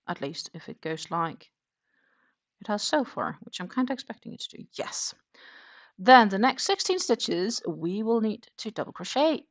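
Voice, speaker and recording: A woman hosting a casual crochet livestream, chatting, reading out viewer comments and counting her stitches aloud. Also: medium pitch. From Denmark. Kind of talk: casual chat while crocheting.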